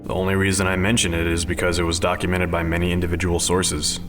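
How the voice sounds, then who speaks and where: A man's voice: sits low, completely flat delivery, fast, music in the background, lightly acted. A man playing an unflappable, bookish advisor who explains odd theories matter-of-factly in a sci-fi audio drama. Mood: earnest, storytelling.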